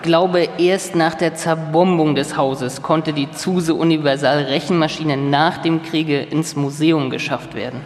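A man's voice: on the high side, steady tone, measured pace, few pauses, close to an everyday voice. A man playing German contemporaries of an inventor in a live documentary radio play. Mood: reminiscing. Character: male German contemporaries, a recalling colleague and a stern commander. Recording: echoey hall with long reverb.

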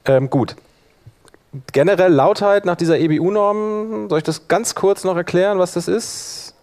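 A man leading a workshop, explaining informally and thinking aloud while he operates the software. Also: high voice, moderate pace. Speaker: workshop instructor.

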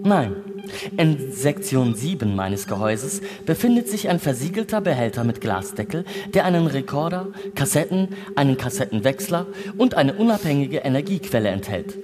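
A man's voice: medium pitch, big pitch swings, normal speed, crowd noise, close to an everyday voice. A man voicing an impassive space station computer in a sci-fi radio play, speaking in formal official announcements. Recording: long reverb, loud music behind.

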